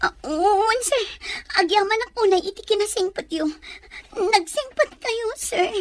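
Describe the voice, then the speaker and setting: A woman's voice: very high voice, lively intonation, unhurried. A woman playing a meek, apologetic young provincial office worker in an audio drama, with a Filipino accent. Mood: flustered, apologetic. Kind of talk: Ilocano audio drama acting.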